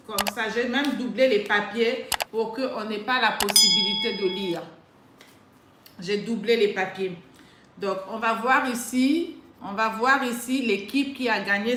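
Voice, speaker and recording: A woman's voice: medium pitch, a bit bright, reverberant, slight background noise. A woman with a slight cold hosting a live prize draw, talking straight to her viewers and often repeating herself. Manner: chatty, addresses viewers directly, repeats herself. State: slightly congested with a cold, clears throat. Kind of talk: live stream talk to viewers. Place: indoors, alone.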